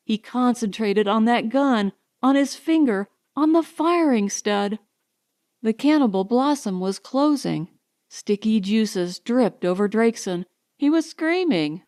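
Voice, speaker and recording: A woman narrating a science fiction audiobook in a measured, deliberate, dramatic way. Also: fairly high pitch, a little mellow.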